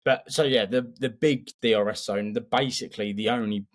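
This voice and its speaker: A man's voice: mid-range voice, fairly even intonation, a little fast. A man co-hosting a motorsport podcast, analysing in a casual, opinionated and emphatic way.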